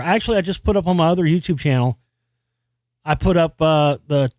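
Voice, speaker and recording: A man hosting a podcast alone, talking in a loose, rambling way with restarts and casual swearing. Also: dry recording. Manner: experienced singer with a high singing voice. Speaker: podcast host. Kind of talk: casual solo monologue about music.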